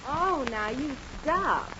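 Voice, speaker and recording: A woman's voice: high voice, animated, slow. An actress playing a coy, flirting, giggly woman in a 1940s radio comedy. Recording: muffled, noisy 1940s radio recording.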